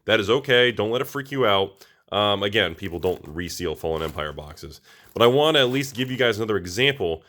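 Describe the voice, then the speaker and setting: An American man with a slightly raspy voice, a little under the weather, talking casually and ramblingly to viewers as he reviews products. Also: lowish voice, lively intonation, brisk, a bit bright. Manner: informal, rambling, addresses viewers directly. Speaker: collectibles channel host.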